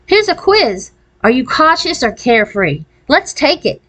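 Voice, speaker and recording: A woman's voice: high voice, sing-song, measured pace, fairly bright tone, pitched above the performer's own voice, heavily acted. A woman voicing a blunt, bossy fifth-grade girl with a dry wit in a children's storybook. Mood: brisk quizmaster. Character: Kate, brisk, sardonic fifth-grade girl, movie buff.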